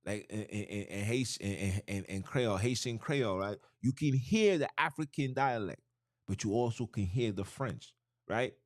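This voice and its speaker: Low-voiced man, loose street talk. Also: no echo, studio quality. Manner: loose, street-slang talk with frequent "you know what I'm saying" and restarts. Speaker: podcast host. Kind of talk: casual religious talk.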